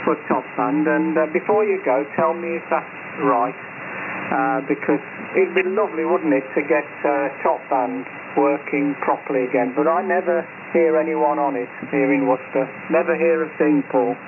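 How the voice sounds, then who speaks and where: A man's voice: fairly high pitch, normal speed. An older man chatting casually and hesitantly as an amateur radio operator over a shortwave radio transmission. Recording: muffled narrowband shortwave radio with static noise.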